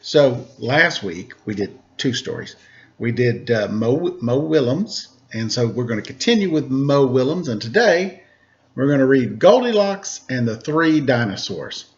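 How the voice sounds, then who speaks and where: A man's voice: medium pitch, big pitch swings, a little slow, highs cut off. A man reading a children's picture book aloud as a storyteller, playful and mock-innocent, with casual asides.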